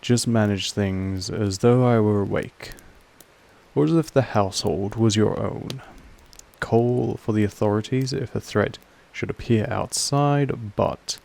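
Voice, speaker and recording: A man playing a possessive vampire master in an intimate audio roleplay, speaking formally in a measured, softly menacing way with a gravelly creak. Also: fairly low pitch. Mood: calm, instructive. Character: possessive male vampire master, calm, commanding, quietly affectionate.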